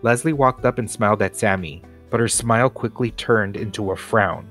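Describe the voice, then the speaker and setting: A man's voice: fairly low pitch, moderate pace, fairly bright tone, soft background music. A man narrating a children's storybook aloud as a scripted reading. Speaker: storybook reader.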